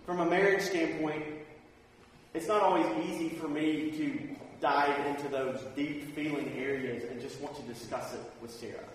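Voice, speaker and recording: A man delivers a sermon in an informal, conversational style, with a slightly high-pitched voice. He sounds plain-spoken, recorded at a distance in a heavily echoing hall with long reverb. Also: fairly even intonation, normal speed. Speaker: preacher. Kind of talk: sermon with personal anecdotes. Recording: echoey hall, distant mic, faint noise.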